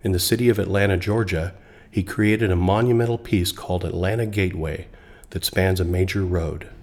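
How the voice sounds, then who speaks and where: Male narrator, very deep voice, steady scripted read. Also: brisk. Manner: even, informative reading.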